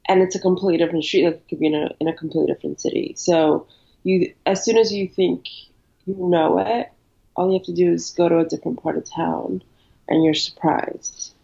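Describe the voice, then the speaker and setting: A woman in her thirties, born in Ukraine and raised in New York, answers interview questions in a casual, hesitant way, often pausing on ums. Her voice sits low for a woman. The audio is dull and lo-fi. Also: creaky. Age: 30s. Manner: relaxed, hesitant with frequent ums. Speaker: interview guest.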